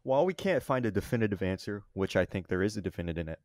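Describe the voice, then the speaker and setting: A man's voice: lowish voice, expressive, fast talker, darkish tone, dry recording. A young man co-hosting a podcast, arguing his points casually but emphatically. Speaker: podcast co-host. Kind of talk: casual argumentative chat.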